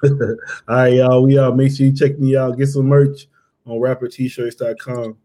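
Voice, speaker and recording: A man's voice: medium pitch, little pitch movement, warm tone. A man hosting a podcast, asking his guest questions in casual, joking chat. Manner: joking, quick wrap-up questions. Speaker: podcast host, interviewer.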